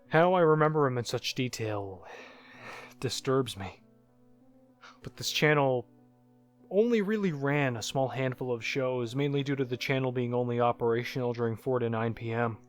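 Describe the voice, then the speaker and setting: A man voicing a haunted narrator who looks back on disturbing childhood memories in a first-person horror story, halting and reflective, like a confession. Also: medium pitch, close to an everyday voice. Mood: troubled, uneasy. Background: music.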